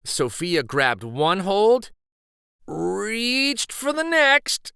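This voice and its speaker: A man reading a children's picture book aloud in an expressive, deliberate storytelling style. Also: high-pitched, vocal fry, smooth, no echo, studio quality. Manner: deliberate, animated.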